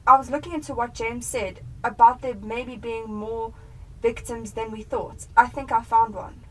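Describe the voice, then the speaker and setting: A woman's voice: a little high, fairly even intonation, moderate pace, a bit bright. A young South African woman playing an earnest, emotionally invested police detective in a radio drama. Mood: concerned. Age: young adult. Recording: distant mic, noisy room ambience.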